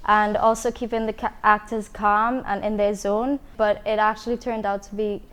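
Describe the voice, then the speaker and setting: Female producer from Trinidad and Tobago; flat, even intonation, clean smooth tone. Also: medium pitch, normal speed. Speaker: film producer. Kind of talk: casual interview talk.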